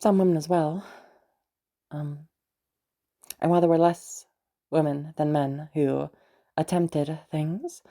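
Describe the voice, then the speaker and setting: A woman playing a teasing, flirtatious, unhinged patient in a roleplay audio drama, rambling hesitantly with many pauses and hums. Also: deep voice, fairly even intonation, mellow. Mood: wistful confession. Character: teasing, rambling, flirtatious crazy female patient confessing to her doctor. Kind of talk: roleplay audio drama, intimate one-sided conversation.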